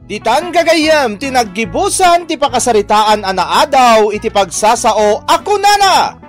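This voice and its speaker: A Filipino woman with an Ilocano accent announces a radio drama. Her delivery is slow, dramatic and scripted, with sweeping high pitch over clearly heard music. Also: very animated.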